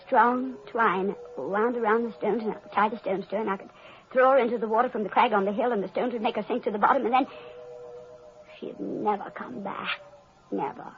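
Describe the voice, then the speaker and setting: An actress playing a jealous, panicked young woman who has killed her sister, speaking frantically and haltingly in an old-time radio drama. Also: fairly high pitch, steady tone, natural voice. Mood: frantic, guilty. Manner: frantic, halting, repeating herself. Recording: dull old radio recording with music.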